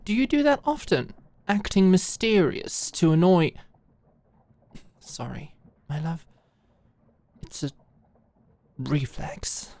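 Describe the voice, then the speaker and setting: A woman's voice: fairly low pitch, big pitch swings, takes it slowly, long pauses, mellow, dry recording, exaggerated voice. A woman playing a proud, combative lady knight and devoted lover in a theatrical, sardonic ASMR audio drama. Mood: sardonic defiance. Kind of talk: dramatic ASMR roleplay acting.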